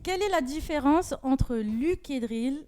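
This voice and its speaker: A woman's voice: high-pitched, lively intonation, measured pace, mellow. A woman hosting a music quiz talk show, speaking in casual, slangy banter.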